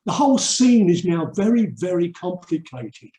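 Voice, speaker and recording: An older man from England giving a reflective webinar talk, earnest and emphatic, with deliberate pauses. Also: high voice, sing-song, mellow. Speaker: urban mission speaker and theologian. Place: indoor room, online webinar. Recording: roomy, echoey webinar audio.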